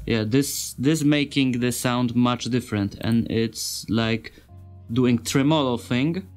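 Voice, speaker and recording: A man with a non-native English accent giving an informal tutorial as a sound designer, speaking in simple, halting phrases and searching for words. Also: mid-range voice, faint music behind. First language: not English. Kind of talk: informal software tutorial narration.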